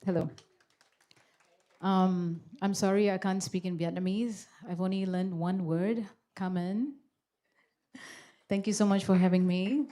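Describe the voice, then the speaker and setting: A woman from Malaysia, an actress speaking at a conference, telling personal stories in a chatty way with many pauses and ums. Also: mid-range voice, steady tone, warm tone. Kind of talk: casual personal talk on stage. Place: conference hall.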